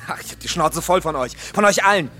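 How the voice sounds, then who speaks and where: A macho young jilted groom in a radio play, with a high, rough-edged voice. He is bitter, crude and mocking, his pitch swinging widely. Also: animated, normal speed, pushed and bright, soft background music. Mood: scornful rage. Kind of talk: German radio drama acting.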